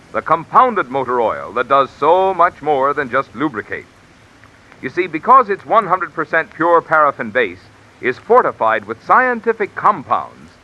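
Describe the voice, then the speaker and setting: A man's voice: a little high, pushed and bright. A man reading a vintage radio commercial in an emphatic, salesy announcer style. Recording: lo-fi old radio broadcast.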